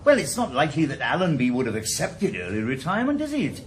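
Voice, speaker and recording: An actor playing a wry, sardonic retired detective turned private eye, in a 1980s radio drama. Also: male voice, fairly high pitch, huge pitch swings, fast, slight background noise. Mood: theorizing. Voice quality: dry, sardonic. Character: retired ex-detective, wry middle-aged Welshman. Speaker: private investigator.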